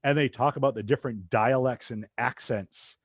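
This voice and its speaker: A man's voice: medium pitch, moderate pace, no echo, studio quality. A man from southeastern Massachusetts hosting a podcast, with a light Massachusetts accent and a rambling, loose way of talking full of asides. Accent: light Massachusetts, drops R's when fast. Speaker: podcast host. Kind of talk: casual interview chat.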